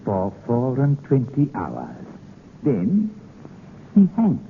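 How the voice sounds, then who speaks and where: A man's voice: mid-range voice, huge pitch swings, slow, creaky, heavily acted. An actor playing a wily, mocking, imperious king in vintage radio drama style, with commanding, theatrical delivery and muttered hums. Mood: scheming. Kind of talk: 1940s radio acting. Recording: tinny, hissy old radio broadcast.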